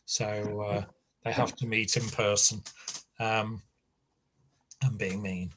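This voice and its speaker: Slow, hesitant man teaching. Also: on the low side, little pitch movement, a bit bright, a little hiss or hum, rough recording. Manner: slow, hesitant, filler-heavy. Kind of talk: online class.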